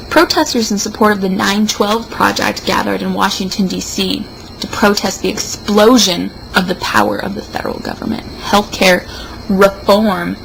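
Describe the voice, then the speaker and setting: Casting: woman, gravelly fry in a mid-pitched voice, tipsy mock-serious read-aloud with drawn-out emphasis. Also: a bit bright, a little hiss or hum, poor audio. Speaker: show host reading an opinion article aloud. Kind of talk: theatrical read-aloud with mocking asides.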